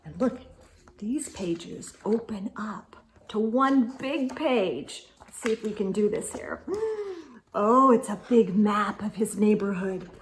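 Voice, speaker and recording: A woman reading a picture book aloud to children, expressively, with gasps and hushed asides. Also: medium pitch, slow, slight background noise. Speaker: children's read-aloud storyteller.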